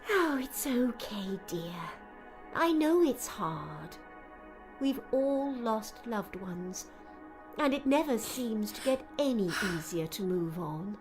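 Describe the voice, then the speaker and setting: Woman acting a slow, soothing, motherly shop woman. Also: on the high side, animated. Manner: soothing, hushed. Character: kindly, motherly shop woman consoling softly. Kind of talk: audiobook character dialogue. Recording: music under the voice.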